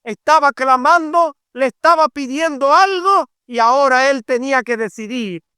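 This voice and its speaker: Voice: high voice, normal speed, bright, forceful tone, dry recording, clean studio sound. A middle-aged preacher with a Dominican accent delivering a fervent evangelistic sermon, emphatic and repetitive, drawing out key phrases. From Dominican Republic.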